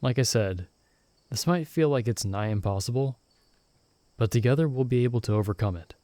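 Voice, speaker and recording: A young man voicing a loyal friend stranded in another world, who talks in a soft-spoken, gently teasing and reassuring way in an ASMR roleplay recorded close to the mic. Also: mid-range voice, normal speed, no room sound, close to an everyday voice. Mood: gentle, reassuring.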